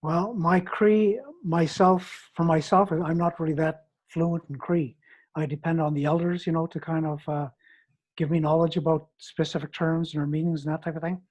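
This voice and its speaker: A man with a somewhat raised pitch, speaking as an invited scholar. He answers in a calm, agreeable, thoughtful way, nodding along with short yeahs. Informal, conversational delivery. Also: moderate pace, poor audio. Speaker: guest scholar. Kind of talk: informal academic discussion.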